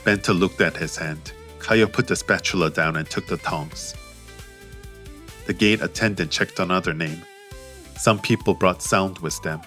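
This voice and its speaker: A man reading an audiobook romance as narrator in a measured, steady way. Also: low-pitched. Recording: faint music behind.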